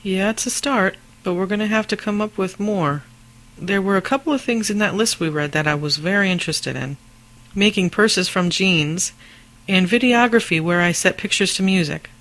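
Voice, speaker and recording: A woman acting a warm, teasing, supportive wife in an amateur radio drama, chatty and affectionate. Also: mid-range voice, moderate pace, lightly acted, rough recording. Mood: practical.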